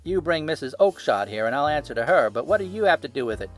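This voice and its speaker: A man's voice: a little high, very animated, fast talker, voice pushed up. A man voicing a hot-tempered, cocksure poultry salesman in a storybook, blunt and emphatic. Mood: furious.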